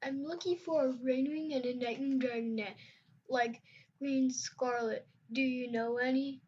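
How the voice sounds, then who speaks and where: A woman's voice: sits high, fairly even intonation, unhurried, mellow, a little hiss or hum, voice pushed up. A woman voicing a polite, slightly awkward young male skywing dragon in a home-read storybook. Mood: earnest. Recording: dull lo-fi recording.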